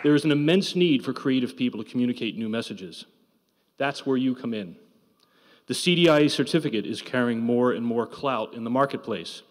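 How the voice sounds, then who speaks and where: A man's voice: mid-range voice, a little fast. Older guy, medium voice, prepared grad speech, long hall reverb. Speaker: photography department head. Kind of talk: prepared graduation address. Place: large indoor auditorium. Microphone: podium microphone. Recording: reverberant hall, podium microphone.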